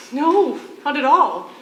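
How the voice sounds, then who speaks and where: A woman acting in a stage play as a defiant condemned prisoner and devoted mother, speaking in earnest, deliberate phrases. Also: sits high, sing-song, full character voice. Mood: tender. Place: theatre stage, live performance. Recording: echoey stage recording with faint noise.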